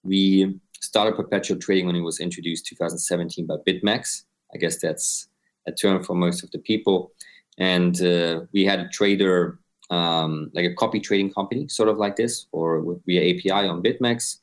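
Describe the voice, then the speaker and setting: Deep-voiced Austrian man in his twenties. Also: fairly even intonation, normal speed. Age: 20s. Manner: explains step by step, often adds "right?". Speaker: guest, crypto company co-founder. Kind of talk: explanatory interview answers.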